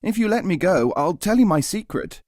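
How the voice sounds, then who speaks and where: A man's voice: high voice, big pitch swings, moderate pace, a little mellow, no echo, studio quality, pitched above the performer's own voice. A man voicing a cornered scorpion who pleads and bargains for his life in a storybook reading. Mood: urgent pleading. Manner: pleading, persuasive.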